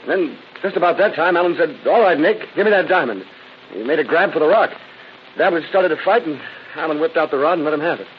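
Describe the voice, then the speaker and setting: An actor playing an evasive, cornered crook under interrogation in a defensive, pleading 1930s radio style. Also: male voice, on the high side, lively intonation, fast talker, natural voice. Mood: weary, reluctant. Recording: muffled, noisy vintage radio recording.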